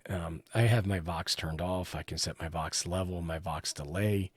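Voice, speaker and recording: A man's voice: sits low, steady tone, moderate pace, husky, dry recording. A man giving a casual hands-on product review, explaining each step as he goes. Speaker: radio hobbyist reviewer, host.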